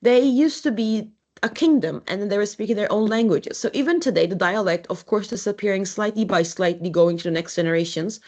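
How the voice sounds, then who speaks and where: A young adult woman from Turkey, giving a university lecture as an architect, speaking in a halting, conversational way with frequent restarts. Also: mid-range voice, darkish tone. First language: Turkish.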